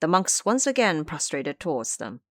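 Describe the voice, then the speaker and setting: A woman narrating a scripted audio drama in an expressive, theatrical storytelling manner. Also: deep voice, moderate pace, dry recording, studio quality.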